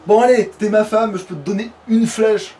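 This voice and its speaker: A man's voice: high-pitched, steady tone, moderate pace, dark tone. A man commenting casually on his game, with crude joking slang. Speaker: let's player. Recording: noisy home recording.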